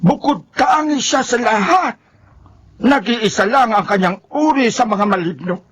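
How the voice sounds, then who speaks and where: Matinis at mapuwersang albularyo. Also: male voice, very high-pitched, lively intonation, unhurried, a bit bright, breathy edge, exaggerated voice. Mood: grave, explaining. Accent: Filipino. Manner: commanding, emphatic. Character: forceful male folk healer driving out an evil spirit. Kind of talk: Filipino radio horror drama acting.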